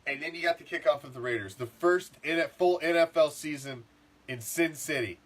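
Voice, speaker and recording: A middle-aged man, congested and rough-throated from the flu, ranting casually as a sports podcast host, swearing and repeating himself. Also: on the high side, fairly quick, a bit bright, low-quality recording. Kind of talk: profane casual sports rant.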